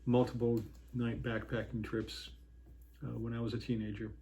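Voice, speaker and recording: Man in his 60s, dark warm tone, halting storyteller with faint hiss behind. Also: mid-range voice, completely flat delivery. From Washington State, USA. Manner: rambling, many pauses and restarts. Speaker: interviewee, hiker. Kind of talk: casual reminiscing interview.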